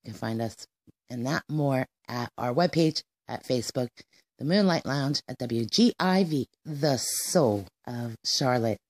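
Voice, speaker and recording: A woman presents on radio with a distinctly low voice. Her delivery is informal and hesitant, as she feels for her words. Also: animated, measured pace, darkish tone. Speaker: radio show host.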